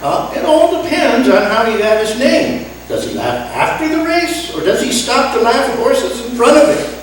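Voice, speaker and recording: Lady doing broke excitable music maestro, radio sitcom, high voice, theatrical, super echoey. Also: normal speed. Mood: blustering. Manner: theatrical, emphatic wisecracking. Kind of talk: old-time radio sitcom acting. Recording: echoey, distant stage recording, faint noise.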